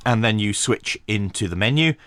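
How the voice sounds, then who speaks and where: A man's voice: on the low side, lively intonation, normal speed, fairly bright tone, no room sound. A man giving a casual, explanatory product review in a conversational, understated way. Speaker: radio equipment reviewer.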